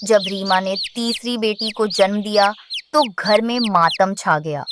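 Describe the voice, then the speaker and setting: A woman's voice: mid-range voice, unhurried, noisy background. A woman narrating a scripted children's story.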